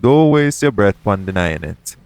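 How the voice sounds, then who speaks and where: A man acting a shrewd, smug pirate captain in an audio roleplay, with a taunting, deliberate, persuasive way of talking. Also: on the low side, sing-song, darkish tone, clean tone, dry recording. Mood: knowing, taunting.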